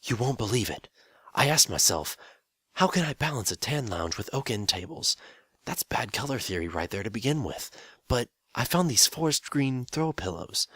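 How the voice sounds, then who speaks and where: A man voicing a flamboyant stallion alicorn, a demon king obsessed with interior decor, who gushes with breathless excitement. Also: mid-range voice, normal speed, husky, dry recording, clean studio sound, voice pushed up. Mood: gushing, eager.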